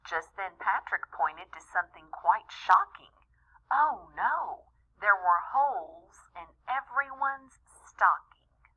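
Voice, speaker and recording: A woman reading a rhyming children's story aloud in an expressive, deliberate way, as a storyteller talking to young listeners. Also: mid-range voice, slightly rough, muffled recording. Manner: animated, deliberate, with small asides to young listeners.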